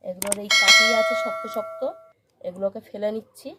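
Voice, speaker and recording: A woman's voice: mid-range voice, fairly even intonation, a little fast. A woman from Bengal hosts a village home-cooking video, narrating each step in a plain, instructional way with repeated phrasing. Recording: loud cooking noise, sizzling and stirring.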